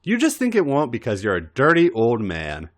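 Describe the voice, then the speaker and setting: A man's voice: medium pitch, huge pitch swings, normal speed, pitched above the performer's own voice. A man voicing a petulant, stubborn younger wrestler who hedges coyly, in an audio fan story. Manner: petulant, hedging.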